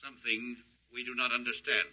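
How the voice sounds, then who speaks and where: An actor playing a logical, emotionless alien invader in radio science fiction, speaking in stiff, formal, literal sentences. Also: male voice, medium pitch, little pitch movement, a little fast, pushed and bright, rough recording. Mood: puzzled.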